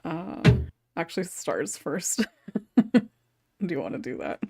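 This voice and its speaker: A woman's voice: fairly low pitch, very animated, slow, dark tone, slightly rough, no echo, soft background music. A woman hosting a casual panel chat, giggly and conversational, on a close microphone. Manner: giggly, laughs often while talking. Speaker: podcast host.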